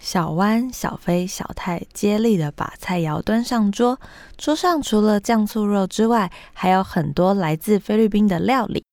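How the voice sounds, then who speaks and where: A woman's voice: mid-range voice, normal speed, a little mellow, dry recording. A woman narrating a scripted radio drama for children.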